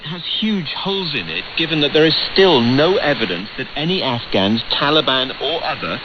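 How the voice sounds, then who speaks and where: A man's voice: a little high, expressive, moderate pace. A man working as a news interviewer, putting direct, challenging questions in a probing broadcast style, heard over a radio relay. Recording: noisy, dull shortwave radio relay.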